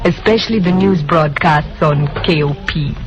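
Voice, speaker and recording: A woman plays a secretive recruiter for a shadowy group in an old radio play. She is poised and cryptic, with formal, measured phrasing. The vintage recording is dull, with music clearly underneath. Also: low-pitched, natural voice. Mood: coaxing, poised. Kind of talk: old-time radio drama acting.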